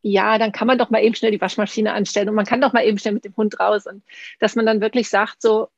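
A woman's voice: medium pitch, level tone, fast talker, vocal fry, no echo, highs cut off. A woman from Münsterland co-hosting a podcast, telling reflective personal stories in a casual chat with many fillers. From Münsterland, Germany. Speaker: podcast co-host. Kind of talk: casual conversational interview.

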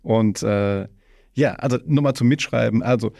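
A man with a low, creaky voice hosts a casual podcast conversation. He circles around his thoughts in rambling phrases. The sound is close and dry. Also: moderate pace, darkish tone, no echo. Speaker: podcast host interviewing a guest.

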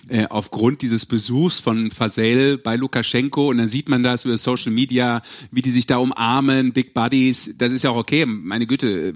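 A man hosting a sports podcast, talking casually and colloquially, mixing in English slang. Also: medium pitch. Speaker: podcast host. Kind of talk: casual discussion on an ice hockey show.

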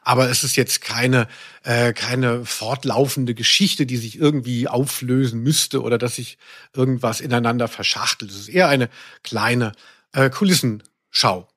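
A man's voice: medium pitch, normal speed, fairly bright tone. A man co-hosting a podcast, chatting casually in a wordy, tongue-in-cheek way. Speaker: podcast co-host. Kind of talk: casual, chatty media discussion.

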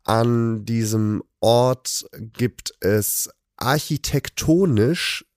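A man hosting a casual chat, relaxed and hesitant, with many fillers. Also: medium pitch, drawn-out words, no room sound, clean studio sound. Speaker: podcast host.

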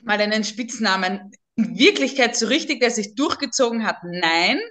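Chatty Austrian woman, fairly high voice. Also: animated, moderate pace, fairly bright tone. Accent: eastern Austrian German, slightly Viennese. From Lower Austria, near Vienna. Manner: talkative, chatty. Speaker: podcast co-host. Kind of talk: casual podcast chat.

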